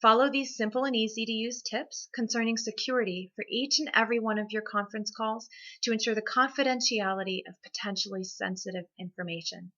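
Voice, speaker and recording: A woman's voice: fairly high pitch, steady tone, fairly quick, sharp tone, highs cut off. A woman hosting a scripted instructional segment, presenting in a structured way with emphasis on key points. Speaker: host of a business tips segment.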